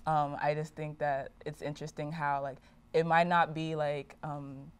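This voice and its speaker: A young woman from Nigeria talks casually as a studio guest, with a low and smooth voice. Her speech is full of fillers and loose restarts. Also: level tone, moderate pace. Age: young adult. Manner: chatty, many fillers like "like" and "um". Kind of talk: casual interview talk. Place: TV studio set.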